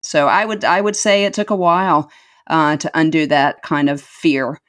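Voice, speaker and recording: A woman's voice: sits low, fairly even intonation, moderate pace, fairly bright tone. A middle-aged woman telling her life story as an interview guest, earnest and reflective, repeating words for emphasis.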